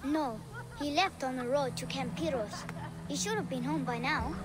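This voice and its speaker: Woman as very high-voiced young boy. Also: moderate pace, close to an everyday voice. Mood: worried, earnest. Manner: childlike, earnest. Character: anxious young ancient Egyptian boy, devoted grandson. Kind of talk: dramatic video game cutscene acting. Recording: very loud music underneath.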